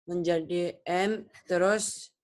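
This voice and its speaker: A man's voice: high-pitched, fairly even intonation, slow, slight background noise. A man answering recitation questions in short, hesitant replies. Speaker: student.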